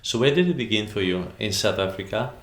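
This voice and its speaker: A man's voice: lowish voice, expressive, brisk, a bit bright, reverberant. A man hosting an interview, asking short questions and giving brief affirmations. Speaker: podcast host. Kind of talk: conversational interview.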